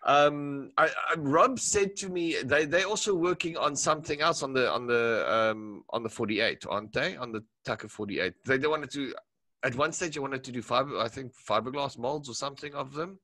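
A man's voice: mid-range voice, moderate pace, pushed and bright. A man, hosting a casual interview, talking eagerly in rambling sentences and laughing often. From South Africa. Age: 40s. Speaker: podcast host.

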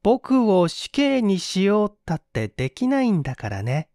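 Man voicing a gloating teenage boy killer, higher-pitched. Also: wildly swooping pitch, moderate pace, darkish tone, no room sound, clean studio sound, exaggerated voice. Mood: gleeful boasting. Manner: boastful, mocking, unrepentant. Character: cruel, boastful fifteen-year-old boy killer, sneering.

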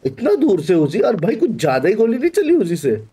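A man's voice: sits high, wildly swooping pitch, fairly quick, dark tone, husky. A young man streaming a game, chatting casually with his squad. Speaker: gaming streamer. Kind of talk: casual squad gameplay chat.

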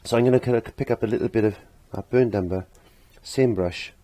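A middle-aged man with a croaky voice giving a casual step-by-step painting lesson, rambling as if to himself and repeating his phrases. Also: lowish voice, a little fast, mellow. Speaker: painting instructor. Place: indoor home art studio.

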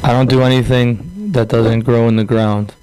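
A man's voice: mid-range voice, normal speed, dark tone, soft background music, rough recording. A man giving a personal account as a radio show guest, earnest, defensive and a bit hesitant. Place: indoor room around a table.

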